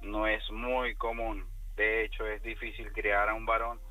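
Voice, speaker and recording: A middle-aged man phones in to a radio show. His voice is fairly low yet bright and forceful. He gives his view earnestly and courteously, often searching for words. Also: level tone, measured pace, faint music behind, low-quality recording. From outside Spain. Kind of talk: call-in opinion. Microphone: phone call.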